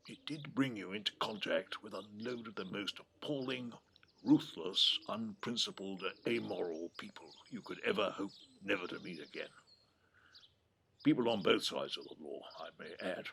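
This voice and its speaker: Old english grandpa in an audio play, gravelly deep voice, wry affectionate rambler. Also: male voice, fairly bright tone, slight background noise, full character voice. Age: 70s or older. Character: dotty old grandfather, retired lawyer, wry, wistful, affectionate. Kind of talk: naturalistic audio drama acting. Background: birdsong.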